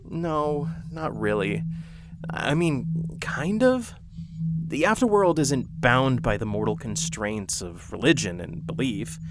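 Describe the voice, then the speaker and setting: An actor playing a gentle male guide who leads souls to the afterworld, speaking softly and hesitantly to reassure. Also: medium pitch, very animated, slow, very noisy. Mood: reassuring. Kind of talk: horror audio roleplay.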